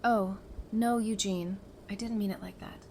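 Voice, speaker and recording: Caring, casual female survivor played by a woman, mid-pitched, expressive, audio drama, clear background noise. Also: unhurried, natural voice. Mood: apologetic, gentle. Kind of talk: fan audio drama acting. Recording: clearly noisy background ambience.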